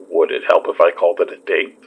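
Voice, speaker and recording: Easygoing boyfriend, lowish husky voice. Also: male voice, full character voice. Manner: relaxed, playful, reassuring. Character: easygoing, teasing, protective young boyfriend. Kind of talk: naturalistic horror audio drama acting. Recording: slightly muffled sound.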